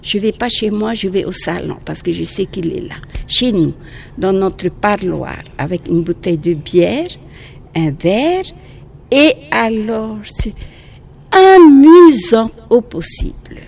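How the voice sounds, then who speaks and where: Slow gravelly Polynesian woman. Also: mid-range voice, expressive. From French Polynesia. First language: Tahitian. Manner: halting, restarts phrases, gentle. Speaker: nun and teacher. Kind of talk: outdoor interview recollection.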